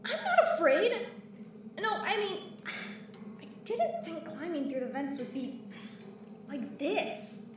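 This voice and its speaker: A woman's voice: very high-pitched, very animated, slow pace, pauses often, exaggerated voice. A woman playing an irritated, anxious young spaceship crew member in an audio drama, grumbling and sarcastic. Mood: uneasy. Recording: echoey, distant, noisy room sound.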